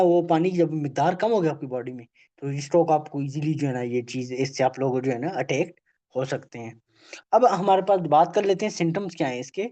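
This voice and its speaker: A man giving an online health awareness lecture, halting and full of filler phrases, checking in with "okay?" after almost every point. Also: on the high side, dark tone. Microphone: video call. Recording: dull lo-fi video call audio.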